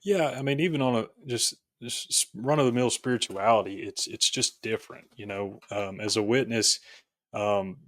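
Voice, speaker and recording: A man from rural Tennessee talks as a guest in a reflective interview. His voice sits fairly low and is captured dry, with little room sound. He explains things conversationally, in halting phrases. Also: no room sound. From rural Tennessee, United States. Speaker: podcast guest, author.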